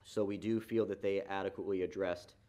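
Municipal planner, low voice, measured explanatory delivery, lots of "uh"s and restarts. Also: male voice, fairly even intonation, mellow. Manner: explanatory, frequent fillers and restarts. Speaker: city planning staff presenter. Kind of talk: staff report at a public meeting. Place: council chamber.

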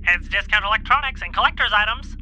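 A very sharp, piercing, animated voice. A man acts a nerdy young male shop clerk in an audio drama, defensive and petulant, full of his own importance. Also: high-pitched, quick pace, a little hiss or hum, exaggerated voice, low-quality recording. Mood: suspicious, snarky. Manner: snarky, petulant.

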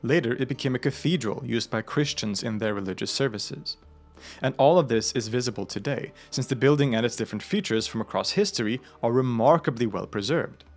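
Male narrator, mid-range voice, measured explanatory documentary read with light asides. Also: mid-range voice, soft background music. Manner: measured, informative, with the odd aside. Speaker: host of a religion history channel.

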